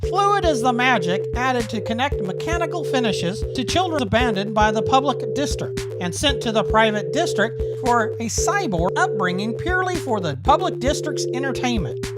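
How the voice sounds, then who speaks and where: A woman narrating a scripted audio drama in a deliberate storytelling manner. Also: on the high side, big pitch swings, rough recording. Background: music.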